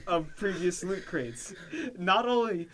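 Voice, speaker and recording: A man co-hosting a comedy podcast, talking casually and joking in a dry, deadpan way. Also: high voice, takes it slowly, background noise. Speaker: podcast co-host.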